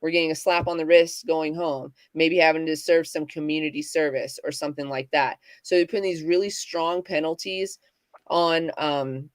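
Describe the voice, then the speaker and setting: A woman co-hosting a casual livestream talk, speaking in halting bursts with many fillers and restarts. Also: deep voice, fairly even intonation, dry recording. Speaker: podcast co-host.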